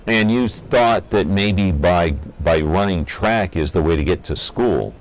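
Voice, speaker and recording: This is a man with a fairly low-pitched voice. He works as the host of an interview program. His delivery is conversational and curious, with open enthusiasm for what he presents. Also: a little slow, rough recording.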